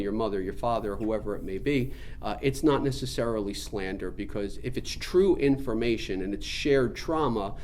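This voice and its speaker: A man's voice: medium pitch, darkish tone, slight background noise. A man giving an informal spiritual teaching talk, explaining things in an earnest, emphatic way.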